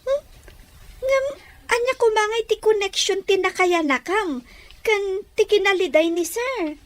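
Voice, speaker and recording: A woman's voice: very high-pitched, a little slow. A woman playing a young, sensitive, playful girl in an audio drama. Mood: curious.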